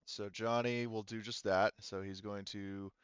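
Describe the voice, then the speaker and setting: A man working as game master, casually narrating a sci-fi tabletop roleplaying game in a halting, think-aloud way. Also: lowish voice, little pitch movement, smooth, dry recording, lo-fi sound.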